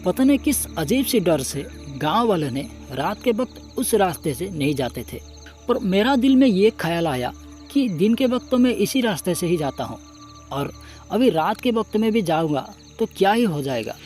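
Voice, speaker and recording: A man narrating a scripted first-person horror story in a dramatic, suspenseful way with deliberate pauses. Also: high-pitched, sing-song, a little mellow, soft background music.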